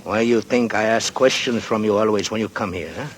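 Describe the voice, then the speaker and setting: A guarded Mexican man, as an actor puts him on, whispering of buried gold in a low-pitched voice with a Mexican Spanish accent. His English is broken and sprinkled with Spanish, and he speaks slowly and carefully, like a man keeping a dangerous secret. A faint hiss sits under this old radio play. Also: lightly acted. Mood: conspiratorial. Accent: Mexican Spanish (acted). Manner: broken English with Spanish words, wary and conspiratorial. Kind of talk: 1940s radio drama acting.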